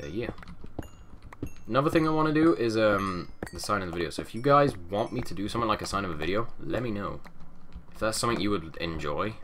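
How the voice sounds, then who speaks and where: Low-voiced young man, nasal from a cold. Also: a bit bright. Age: young adult. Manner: rambling, chatty, mumbles asides. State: sniffly, getting over a cold. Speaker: gaming channel host. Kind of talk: casual let's-play commentary. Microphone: headset. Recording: noisy home mic with keyboard clicks.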